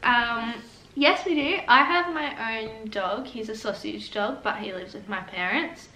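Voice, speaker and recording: A woman's voice: fairly high pitch, measured pace, bright, forceful tone. A nineteen-year-old woman, chatting in a bubbly, easy way while co-hosting a Q&A vlog from her living room couch. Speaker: vlogger co-host. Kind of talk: casual Q&A chat. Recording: echoey room, mic at a distance, faint hiss.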